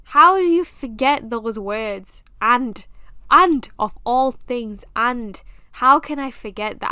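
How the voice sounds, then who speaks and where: A woman's voice: high-pitched, bright, forceful tone, smooth, no echo, clean studio sound. A young British woman with a British accent, hosting a podcast and talking candidly, with self-mocking humor, in a casual monologue. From England. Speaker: podcast host.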